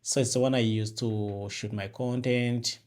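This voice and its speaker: A man's voice: mid-range voice, fairly even intonation, a little slow, darkish tone. Ugandan man chatting casually to camera. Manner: rambling, many fillers and restarts. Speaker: vlogger host. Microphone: external mic with phone camera.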